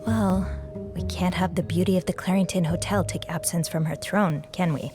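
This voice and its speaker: A woman's voice: fairly low pitch, fairly even intonation, moderate pace, over music, natural voice. A woman playing an earnest, dry-witted young hotel maid in a video game cutscene. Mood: dry, polite.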